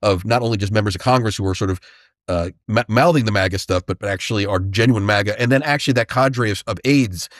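A man's voice: fairly low pitch, fast talker, no echo, studio quality. An American man giving conversational political analysis as a panelist, informal, often repeating words as he goes. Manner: informal, stammering word repetitions. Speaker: foreign policy panelist.

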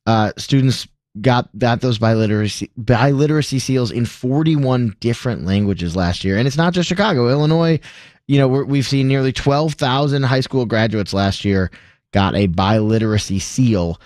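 A man's voice: mid-range voice, normal speed, darkish tone, no echo. Upbeat rambling man on the radio, dry. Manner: upbeat, rambling, lots of fillers and self-corrections. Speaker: radio talk show co-host. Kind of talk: casual radio talk. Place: radio studio. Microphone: studio microphone.

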